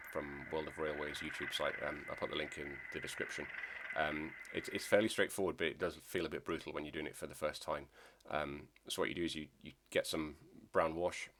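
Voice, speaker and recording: A man's voice: very deep voice, background noise. A man narrating a model railway build video in a casual, hesitant way with many ums, sounding nasal from a cold. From Scotland.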